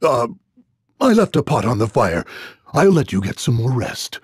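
An actor playing a kindly, fatherly middle-aged innkeeper in a video game, gentle and folksy in manner. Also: mid-range voice, very animated, normal speed, husky, dry recording, clean studio sound. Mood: puzzled, evasive.

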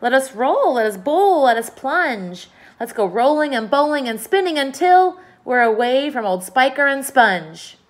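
A woman's voice: high voice, measured pace, few pauses, pitched above the performer's own voice. Woman doing a boastful grinning centipede, singsong, bouncy rhymes, storybook. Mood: gleeful. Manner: lively, singsong rhyming delivery.

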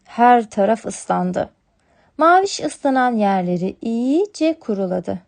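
Woman narrating a kids storybook, scripted storyteller read, higher pitched voice. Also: sing-song, slow pace, vocal fry, a little mellow.

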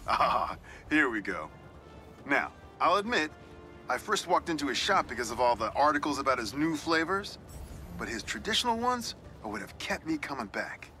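A middle-aged American newspaperman in a video game, genial and nostalgic. His voice is fairly high and swings expressively as he shares reflective stories, with faint music behind. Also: very animated, normal speed, bright, forceful tone, full character voice. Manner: storytelling, reflective. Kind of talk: game voice acting, conversational monologue.

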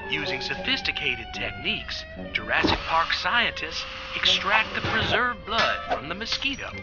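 A chirpy cartoon mascot presents science like an educational film, the pitch leaping all over. Loud music plays under the slightly muffled soundtrack. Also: male voice, wildly swooping pitch, normal speed, exaggerated voice. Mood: cheerful. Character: cheery cartoon DNA mascot explaining science to park visitors.

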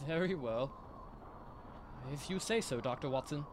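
A man's voice: a little high, a little slow, background noise, close to an everyday voice. A young man playing Mister Bell, a nervous, hesitant guest in a radio drama. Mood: nervous, uneasy. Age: young adult. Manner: hesitant, uneasy. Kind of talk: 1930s-style radio drama acting.

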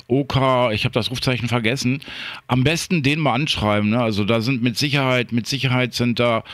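A man's voice: medium pitch, fairly bright tone, dry recording, studio quality. A man chatting casually as an amateur radio operator.

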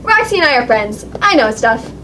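A woman's voice: a little high, big pitch swings, moderate pace, pushed and bright, slight background noise, low-quality recording. A woman voicing an eager, impatient, bossy little girl puppet in a children's show, pleading and dramatic. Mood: cheeky, eager.

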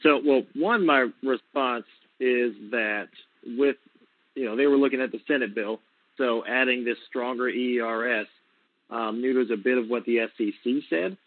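A man's voice: medium pitch, normal speed, dry recording. A man giving an unscripted, conversational explanation as an advocate on a conference call over a telephone. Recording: tinny, narrowband phone line.

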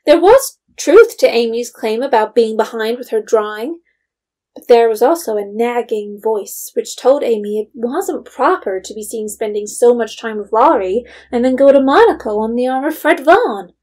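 A woman narrating a period audio drama in a measured, literary storytelling manner. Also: a little high, darkish tone, natural voice.

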